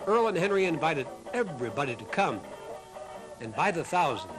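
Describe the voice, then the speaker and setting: Higher-voiced male documentary narrator over music. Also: big pitch swings, moderate pace, poor audio. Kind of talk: scripted narration.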